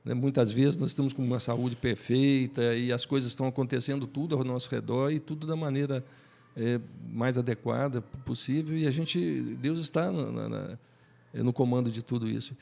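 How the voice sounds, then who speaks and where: Older male Bible study panelist, very creaky voice, halting conversational delivery. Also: medium pitch, fairly even intonation, darkish tone. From Brazil. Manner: halting, searching for words, repeats words. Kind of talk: conversational religious discussion.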